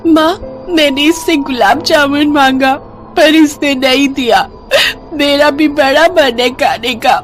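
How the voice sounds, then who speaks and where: A woman's voice: sits high, fairly even intonation, takes it slowly, soft background music, pitched above the performer's own voice. A woman voicing a whiny, pleading little village girl in a storybook tale. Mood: tearful. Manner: pleading, childlike whine.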